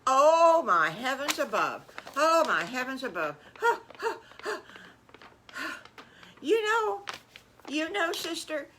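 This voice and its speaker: Woman playing a flustered storybook pig aunt, high voice, fretful gasps and exclamations, griping, faint background noise. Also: very animated, a little slow, sharp tone, exaggerated voice. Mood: flustered alarm.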